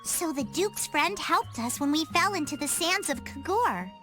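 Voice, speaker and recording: Bright, very high-voiced youngster. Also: female voice, animated, moderate pace, faint music behind. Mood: earnest. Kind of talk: English game dub acting.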